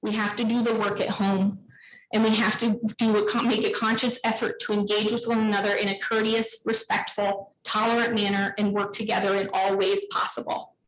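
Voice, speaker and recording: A woman giving an earnest, pleading public comment speech at a meeting, with deliberate phrasing. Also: medium pitch, little pitch movement, a little hiss or hum. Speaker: parent speaking at public comment. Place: indoor public board meeting room. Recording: echoey meeting room.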